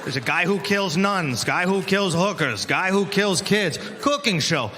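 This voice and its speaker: A male stand-up comedian with a brash delivery, shouting his punchlines. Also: high voice, expressive, unhurried. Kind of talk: stand-up routine. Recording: noisy hall.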